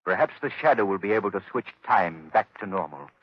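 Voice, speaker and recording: An actor playing a calm, commanding gentleman crime-fighter in 1930s radio drama style, speaking gravely and deliberately. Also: low voice. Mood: grim, resolute. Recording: muffled 1930s radio broadcast recording.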